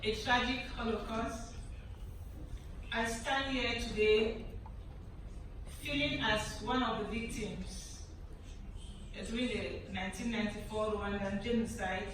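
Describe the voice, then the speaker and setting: A woman's voice: a little high, fairly even intonation, takes it slowly, pauses often, fairly bright tone. A young woman from Cameroon, giving a formal prepared address at a conference in an earnest, emphatic way, often repeating phrases. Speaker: conference speaker. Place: large conference hall. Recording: echoey hall, distant mic, background noise.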